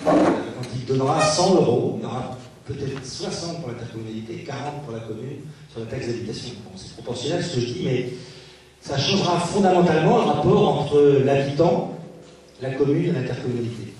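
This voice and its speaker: A man speaking as a local elected official at a public meeting, posing rhetorical questions and pausing hesitantly. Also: mid-range voice, lively intonation, a little mellow. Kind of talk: political speech at a public meeting. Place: large reverberant meeting room. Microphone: distant microphone. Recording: echoey hall, distant mic, faint noise.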